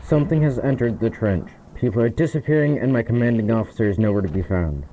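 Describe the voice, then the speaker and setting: A man's voice: medium pitch, fast talker, mellow, highs cut off, voice pushed up, natural voice. A man voicing a fearful soldier sending a grave, urgent last message in a war story. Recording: clear background noise.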